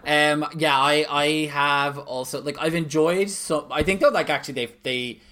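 A man's voice: on the high side, fairly even intonation, moderate pace, pushed and bright. A man co-hosting a podcast in casual chat, talking emphatically with many fillers. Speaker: podcast co-host.

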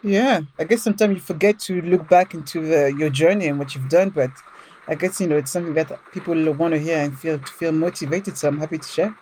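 A middle-aged woman from France with a French accent, a podcast guest telling her career story in a lively way, laughing often and restarting sentences. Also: deep voice, fairly quick, a little hiss or hum, rough recording. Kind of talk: casual storytelling interview.

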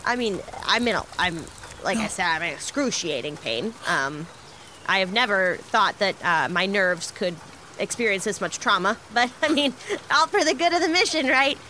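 A woman's voice: mid-range voice, lively intonation, normal speed, pushed and bright, a little hiss or hum. A woman playing a gruesomely injured, self-sacrificing crew member in a sci-fi comedy drama, bravely understating her pain. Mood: pained, stoic. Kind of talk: improvised dark comedy sci-fi audio drama.

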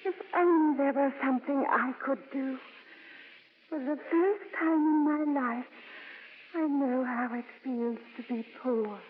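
A woman's voice: sits high, unhurried. An actress playing a kindhearted, sorrowful woman, tearful, in the style of 1950s radio drama. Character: kindhearted, sorrowful laywoman, devoted friend of nuns. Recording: muffled, noisy old radio broadcast.

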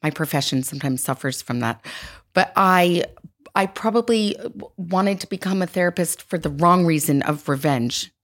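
A woman with a notably low voice speaks as a guest on a podcast. She is a psychotherapist and author who explains her ideas in a thoughtful, articulate way. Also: animated, normal speed. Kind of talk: casual interview.

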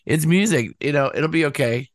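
A man musician talking casually in an interview, rambling with lots of "you know" fillers and acting out quotes in a higher voice. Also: moderate pace, dry recording, studio quality. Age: older. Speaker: musician guest.

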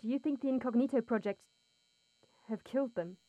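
A woman plays a worried young rebel. Her voice sits a little high and sounds dry and close. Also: fairly quick, dry recording, phone-quality sound, lightly acted. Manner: earnest, worried. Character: anxious young female rebel named Alvina.

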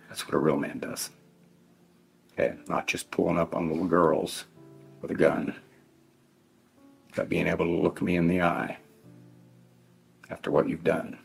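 A middle-aged man gives a victim impact statement as a bereaved father, speaking in a deliberate, stern, emphatic way with heavy pauses. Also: rumbling low, vocal fry, slightly rough. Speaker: bereaved father addressing his child's killer. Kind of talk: victim impact statement addressed to one person.

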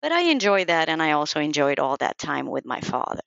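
A woman's voice: sits low, sing-song, fairly quick, creaky, fairly bright tone, no echo. A woman in her forties, answering interview questions thoughtfully with many fillers over a dull lo-fi line. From Sweden. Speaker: guest, program manager.

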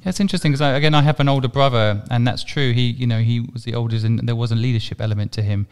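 A man in his forties hosting an interview, asking quick, curious questions. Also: medium pitch, steady tone, clean tone. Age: 40s. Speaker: podcast host. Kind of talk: conversational interview.

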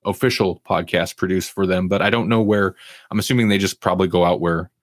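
Low-voiced man hosting entertainment chat, dry sound. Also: fairly even intonation, fast talker, no room sound. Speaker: podcast host. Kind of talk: casual entertainment news chat, partly reading articles aloud.

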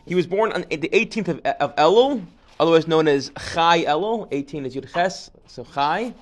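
A man with a slightly higher voice leads an informal history class. He wanders off on tangents and restarts his sentences often, with joking asides along the way. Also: expressive, normal speed. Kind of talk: informal lecture.

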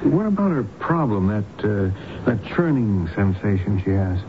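A man's voice: lowish voice, very animated, unhurried. An actor playing a matter-of-fact, inquisitive man in a radio mystery drama, with a terse, questioning way of talking. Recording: dull, noisy old radio broadcast.

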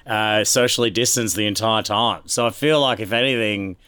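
Australian man hosting, crisp and clean. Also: fairly low pitch, normal speed, sharp tone, no echo. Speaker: podcast host. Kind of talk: casual sports banter.

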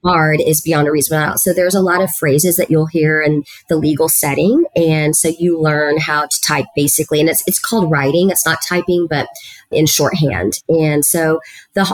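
Low-voiced woman telling her story. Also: no room sound, poor audio. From South Texas, USA. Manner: earnest, talkative, with frequent "you know" fillers. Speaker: guest, student housing executive. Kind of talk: conversational storytelling.

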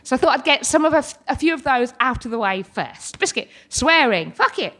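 Woman, British accent, high voice, swooping intonation, playful comic stage storytelling, frequent involuntary tic interjections. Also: animated, a little fast, bright, forceful tone. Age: 30s. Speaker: live storyteller and artist. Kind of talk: comic live storytelling to an audience. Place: live storytelling event with an audience.

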